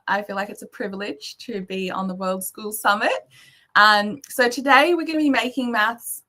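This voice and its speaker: Bright-voiced Australian woman presenting. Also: medium pitch. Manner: earnest, explaining step by step, frequent ums. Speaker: guest presenter. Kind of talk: slide presentation to an online summit.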